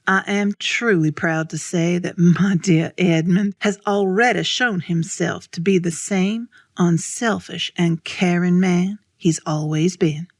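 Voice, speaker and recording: A composed woman narrator in a stage drama speaks in a low register with a dry, close sound. She is proud and wryly knowing as she tells the tale. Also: fairly even intonation, takes it slowly, few pauses, dry recording.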